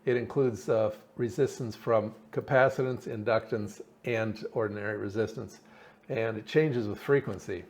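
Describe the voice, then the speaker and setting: Man, lowish pitch, hobbyist presenter, informal technical walkthrough, halting delivery, thinking aloud. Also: fairly even intonation, a little mellow. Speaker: hobbyist presenter explaining his radio build. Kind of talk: informal technical explanation over slides and diagrams.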